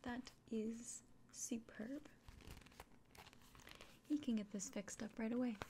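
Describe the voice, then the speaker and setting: A woman's voice: on the high side, fairly even intonation, takes it slowly, slight background noise. Woman playing a whispering, caring fantasy innkeeper. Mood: appraising. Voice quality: breathy whispering. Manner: gentle, caring, speaking directly to listener. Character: kind, anxious, hospitable female village innkeeper in fantasy roleplay. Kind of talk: soft-spoken ASMR roleplay, mostly whispered.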